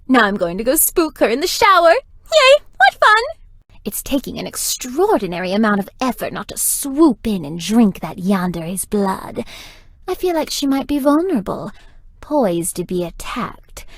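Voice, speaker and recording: A woman's voice: a little high, sing-song, normal speed, a bit bright, dry recording, studio quality, heavily acted. A woman voicing a flirtatious, playful, theatrical vampire in a video game, teasing and melodramatic. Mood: amused, teasing, then gleeful, scheming. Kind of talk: scripted video game character dialogue.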